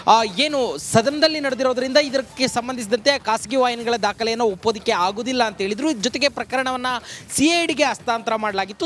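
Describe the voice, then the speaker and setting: A man's voice: very high-pitched, fairly bright tone. A man giving an unscripted live news report to the studio, explaining in wordy, formulaic reporter phrasing.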